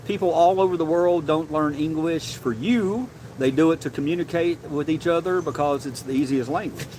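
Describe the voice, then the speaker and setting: A man hosting a casual livestream and reading chat, animated and loose, swearing casually and calling people bro. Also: a little high, moderate pace, a little hiss or hum. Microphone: desk mic, sometimes leaning away.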